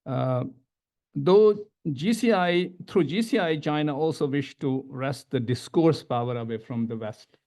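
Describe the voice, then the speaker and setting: A middle-aged man with an Indian accent giving a panel presentation as an academic, halting and repeating words as he stresses each new point. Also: on the high side, mellow. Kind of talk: panel presentation, semi-scripted lecture. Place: medium-sized indoor conference room. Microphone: gooseneck table microphone.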